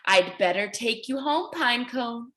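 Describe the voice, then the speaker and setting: A woman's voice: on the high side, animated, measured pace, a bit bright. A woman voicing a caring, worried young penguin in a storybook read-aloud. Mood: disappointed. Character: caring young male penguin, worried about his friend.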